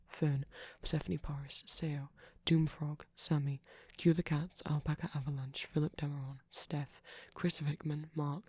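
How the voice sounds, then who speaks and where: A soft-spoken ASMR host reading out a long list of names, often slipping into a breathy whisper. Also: medium pitch, no room sound. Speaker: host reading supporter credits.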